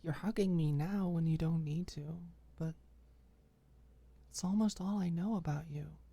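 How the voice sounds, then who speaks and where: A woman voicing a gentle, hesitant young woman in an ASMR audiobook, mumbling softly and reassuringly. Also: low voice, vocal fry, slightly rough, no room sound, voice pushed up, exaggerated voice. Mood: tender, reassuring. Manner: soft mumbling, halting. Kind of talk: audiobook character dialogue, ASMR.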